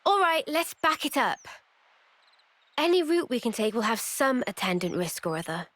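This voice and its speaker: A woman acting a pragmatic, bossy young soldier in a video game cutscene, brisk and commanding. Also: a little high, very animated, fairly bright tone. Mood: exasperated, commanding. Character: bossy, pragmatic young female soldier, exasperated but encouraging.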